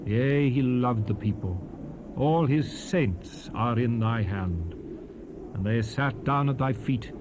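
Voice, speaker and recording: A man's voice: medium pitch, animated, takes it slowly. An actor playing Moses as a stern, fervent aged prophet, declaiming King James scripture in a solemn, emphatic, oratorical way. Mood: solemn blessing. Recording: noisy 1970s cassette rip with hiss.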